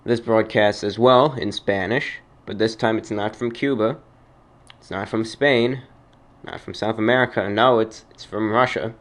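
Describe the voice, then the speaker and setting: A man hosting a radio show, explaining things in a casual, halting, conversational way. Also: lowish voice, fairly even intonation, a bit bright. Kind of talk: casual informal radio commentary.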